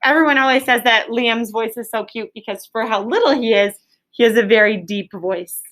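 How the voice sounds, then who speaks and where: A woman teacher leading an interactive lesson for young children, encouraging them with praise and explaining in simple, deliberate terms. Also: on the high side, fairly bright tone. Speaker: elementary school teacher. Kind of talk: interactive classroom lesson with questions. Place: classroom.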